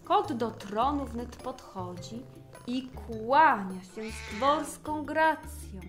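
Slow, high-voiced woman storyteller. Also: faint music behind. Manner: expressive storytelling. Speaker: narrator. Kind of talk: rhymed fairy-tale narration for children.

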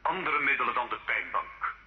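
An actor playing a stern, menacing church inquisitor, formal and pompous in a radio drama. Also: male voice, mid-range voice, expressive, moderate pace, lightly acted. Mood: stern, threatening. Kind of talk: theatrical radio drama acting. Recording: dull old radio recording, roomy echo.

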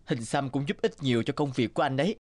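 A man's voice: mid-range voice, expressive, fast, darkish tone, dry recording, close to an everyday voice. A man playing an easygoing, burly tough boss. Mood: genial. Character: burly, tattooed, easygoing young boss with gangster air. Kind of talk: animated drama dialogue. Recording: studio voice over background music.

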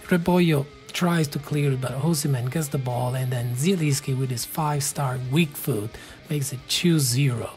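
An Italian man in his thirties narrates his game footage in a steady, matter-of-fact voice-over. His pitch sits mid-range for a man, and faint music plays underneath. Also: normal speed. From Italy. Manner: calm, matter-of-fact play-by-play. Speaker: gaming channel host.

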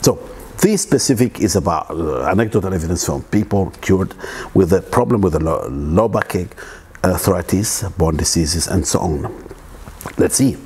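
Middle-aged male presenter from East Africa, a bit low and husky, stumbling lecture into a lapel mic. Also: expressive, darkish tone. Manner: halting, many restarts and fillers. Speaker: wellness presenter. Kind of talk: informal lecture. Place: living room at home, indoors.

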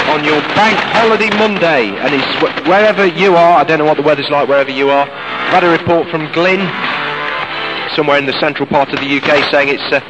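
A man's voice: a little high, rough recording. A British man presenting live radio in a chatty, informal, ad-libbed way, broadcast outdoors over shortwave. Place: outdoors in the countryside. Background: loud music.

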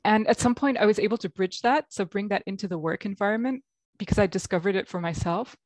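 A woman's voice: mid-range voice, fast, a little mellow, dry recording. A woman from Belgium with an American accent, a guest coach on a video call, talking reflectively with many ums. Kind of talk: interview over video call. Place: home room.

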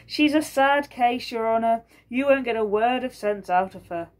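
A woman's voice: a little high, moderate pace, pitched above the performer's own voice, close to an everyday voice. A woman voicing a sly, grumbling husband in a folktale, exasperated and muttering.

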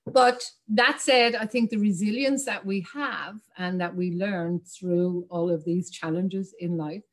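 A woman's voice: on the low side, steady tone, unhurried. A woman in her forties with an Irish accent, telling her story as a guest on a video call, chatty and laughing often. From Ireland. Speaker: guest, communication specialist. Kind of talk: casual video-call interview. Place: home room.

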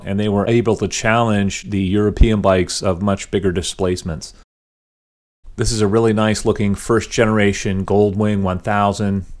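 A middle-aged man with a somewhat low voice talks through a voice-over in an easy, conversational manner. He sounds keen and relaxed, like a hobbyist host narrating his own video. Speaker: motorcycle channel host. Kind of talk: voice-over narration of a show walkthrough.

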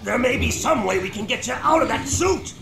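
Loud high-pitched angry newspaper boss, bullying everyone, game cutscene, echoey. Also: male voice, animated, fairly quick. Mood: blustering. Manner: barking, bullying, indignant. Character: irascible, blustering middle-aged male newspaper boss. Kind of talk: video game voice acting. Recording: echoing, with music behind.

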